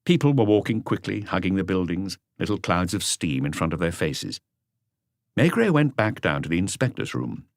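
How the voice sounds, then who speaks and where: A man's voice: low voice, very animated, moderate pace, dry recording, studio quality. A man reading a crime novel as a scripted audiobook narration.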